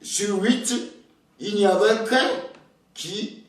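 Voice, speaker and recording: An older Togolese man whose first language is Ewe, speaking slowly and hesitantly, often repeating words as he starts a thought. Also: sits high, recorded from a distance. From Togo. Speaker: interviewee, elder. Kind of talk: slow reflective storytelling. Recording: very echoey room, voice off-mic.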